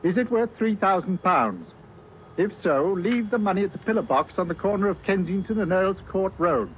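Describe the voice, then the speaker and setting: A high-voiced British police inspector. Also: male voice, expressive. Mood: businesslike. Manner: brisk, deferential, official. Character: dutiful male British police inspector on telephone. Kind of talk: old-time radio drama acting. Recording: dull, faintly noisy old radio recording.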